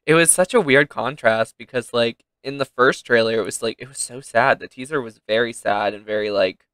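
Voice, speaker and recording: A man's voice: mid-range voice, a little fast, a bit bright, clean tone, no room sound. A young man giving casual reaction commentary as a game streamer.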